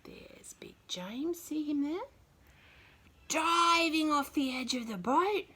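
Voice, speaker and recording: A woman reads a picture book to little children at an unhurried pace. Her pitch moves up and down dramatically in a bright voice, and she chats casually to her young listeners, sometimes in a hushed tone. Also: animated, slight background noise. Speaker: children's story reader. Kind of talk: animated picture-book read-aloud with casual asides.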